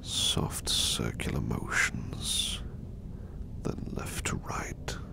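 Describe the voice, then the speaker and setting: A man playing a gentle, protective servant in an ASMR roleplay, speaking in a soft breathy whisper with tender, polite reassurance. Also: very slow. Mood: soothing, attentive. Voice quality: soft, breathy whisper with low creaky rasp. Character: gentle, protective male servant soothing his master to sleep. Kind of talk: ASMR roleplay, personal attention.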